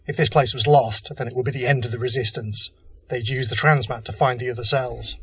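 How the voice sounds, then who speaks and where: A man's voice: medium pitch, fairly even intonation, a little fast, a bit bright, husky. A man playing a principled, desperate but humane rebel cell leader in an audio drama, speaking seriously and earnestly. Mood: grave, earnest.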